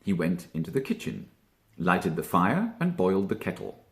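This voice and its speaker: Deep, animated man reading a storybook. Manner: expressive, deliberate, drawing out key words. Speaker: storybook reader, stage actor. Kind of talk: theatrical children's story narration.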